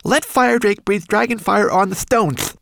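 A man voicing a tiny, excitable homunculus in an audiobook, anxious and snippy, with a shrill, strained voice. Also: unhurried, no room sound. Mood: agitated. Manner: agitated, stammering, pleading. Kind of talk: audiobook character voice.

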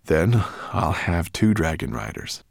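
Man voicing a low, calm noble dragon. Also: measured pace, creaky, no room sound, studio quality, exaggerated voice. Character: calm, noble male dragon, resolute and gentle.

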